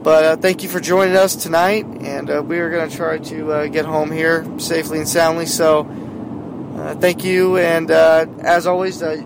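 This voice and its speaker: A man with a somewhat high voice rambles through a podcast sign-off, leaning on "uh" and "you know." He is talking from inside a moving car. Loud road rumble sits under his voice. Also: flat delivery, moderate pace, gravelly. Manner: rambling, filler-heavy sign-off. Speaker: podcast host. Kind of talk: casual podcast chat.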